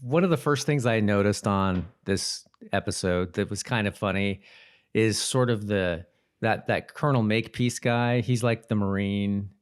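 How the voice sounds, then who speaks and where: A man co-hosting a podcast in casual conversation. His voice sits a little low and comes across smooth and clean, with an informal, chatty commentary style. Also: moderate pace. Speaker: podcast host.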